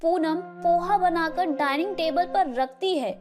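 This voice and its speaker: A woman's voice: extremely high pitch, unhurried. A woman narrating a scripted children's storybook tale. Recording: music playing behind the voice.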